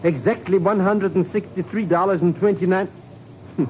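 An actor playing a shifty, wheedling petty informer as comic relief in a 1950s radio drama, ingratiating and excitable. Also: male voice, high-pitched, moderate pace, highs cut off, close to an everyday voice. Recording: faintly noisy vintage radio recording.

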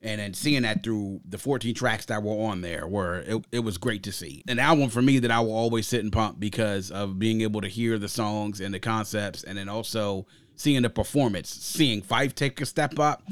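A man's voice: lowish voice, normal speed, few pauses, no echo. A man hosting a music podcast, giving casual, opinionated album commentary in an emphatic, slangy way. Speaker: podcast host reviewing music.

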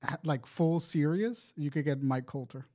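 Male co-host, voice a bit high, casual banter, pushes opinions emphatically and swears a lot. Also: normal speed, warm tone. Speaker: podcast co-host.

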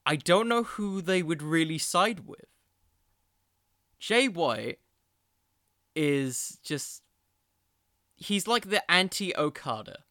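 A man with a bright, forceful voice, pitched a little high, hosts a casual podcast. His sound is crisp and dry, and he rambles as he thinks aloud, often poking fun at himself. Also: expressive, slow pace, pauses often, no room sound. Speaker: podcast host. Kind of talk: casual wrestling commentary.

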